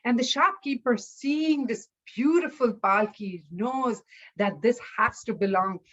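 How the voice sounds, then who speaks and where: A woman telling a folktale aloud as a storyteller, in a deliberate, halting manner. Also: fairly high pitch, a bit bright, highs cut off.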